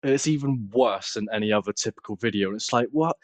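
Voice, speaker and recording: A man with a British accent chatting casually as an interview guest, plain-spoken, halting and self-deprecating. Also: lowish voice, no room sound. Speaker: interview guest, content creator. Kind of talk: casual chat.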